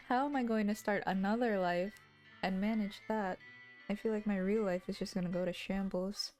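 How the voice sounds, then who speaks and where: A young woman with a husky, mellow voice reads story narration and drifts into giggly, chatty asides. Faint music plays behind her. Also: mid-range voice, fairly even intonation, normal speed. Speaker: streamer reading a visual novel aloud. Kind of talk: scripted narration with casual asides.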